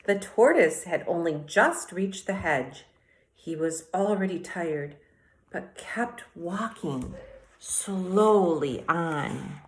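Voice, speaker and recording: Slow-paced woman teacher reading to children. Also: lowish voice, animated. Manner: deliberate, addresses children directly with questions and asides. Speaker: elementary school teacher reading aloud. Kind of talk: expressive children's read-aloud and mini lesson.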